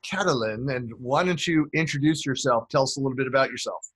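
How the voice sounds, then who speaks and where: A man's voice: mid-range voice, fast, a bit bright, breathy edge. A man hosting an interview podcast, chatty and rambling with many fillers. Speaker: podcast host.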